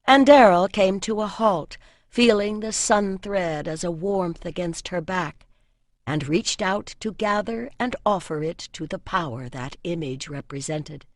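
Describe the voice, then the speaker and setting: A woman narrates a fantasy novel in a somewhat low voice. Her storytelling is measured and literary. The sound is dry and close, with no room around it. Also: no echo. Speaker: audiobook narrator. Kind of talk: scripted fantasy audiobook narration.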